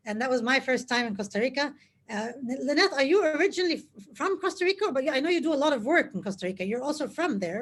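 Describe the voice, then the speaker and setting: A woman's voice: high-pitched, a bit bright. A woman hosting a live online interview over a video call, upbeat and enthusiastic, often repeating phrases. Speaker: host.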